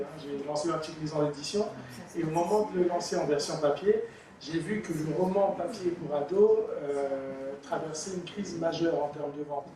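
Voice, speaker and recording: A middle-aged man from the Republic of the Congo talks in a measured, hesitant way, pausing on fillers, his voice a little higher than most men's. He sits some way off the mic in a very echoey room, with faint noise underneath. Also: mellow, far from the mic. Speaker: author and illustrator guest. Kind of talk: radio interview answers.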